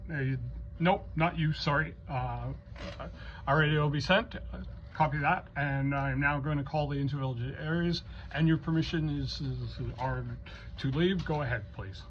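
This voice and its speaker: A halting, courteous man speaking as the controller of an amateur radio net, in procedural style. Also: on the high side. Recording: dull lo-fi audio, faint noise.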